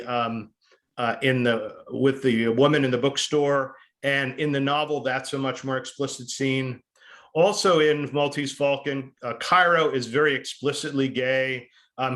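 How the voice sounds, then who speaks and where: A man speaking in an informal lecture discussion, with a halting, conversational delivery. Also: mid-range voice, a bit bright.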